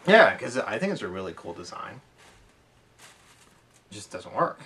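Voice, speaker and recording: A man co-hosting a hobby podcast, chatting casually and haltingly about his gear with enthusiasm. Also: lowish voice, very animated, fairly bright tone. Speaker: podcast co-host. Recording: distant from the microphone.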